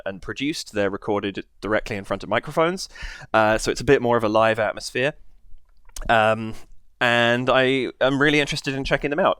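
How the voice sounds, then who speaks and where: Man with a head cold, smooth voice. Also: fairly low pitch, fairly bright tone, no echo. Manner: rambling, many fillers and restarts. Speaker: reaction channel host. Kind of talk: casual to-camera chat. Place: basement home studio.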